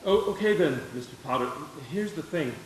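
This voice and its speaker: A man playing a decent, soft-hearted businessman, earnest and conciliatory, in a live radio play. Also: on the high side, animated, moderate pace, lightly acted. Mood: pleading, earnest. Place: stage of a live theater performance. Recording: echoey stage, distant mic, faint music.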